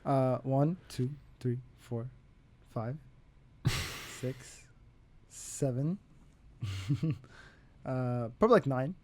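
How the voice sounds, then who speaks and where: A man co-hosting a radio show, joking and chiming in quickly with playful laughs. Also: medium pitch. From Australia. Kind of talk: casual radio chat. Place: radio studio.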